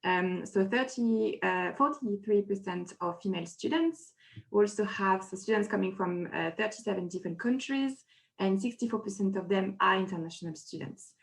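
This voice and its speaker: A woman's voice: medium pitch, normal speed, creaky, rough recording. A woman hosting a webinar over a video call, presenting and asking a student questions, with many fillers. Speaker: webinar host.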